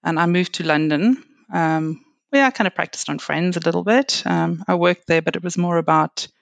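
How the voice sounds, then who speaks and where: Middle-aged woman, South African accent, lowish gravelly voice, dry close sound, reflective casual chat. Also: normal speed, dry recording. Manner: warm, reflective, many fillers and soft laughs. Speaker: guest, reflexology and Reiki practitioner.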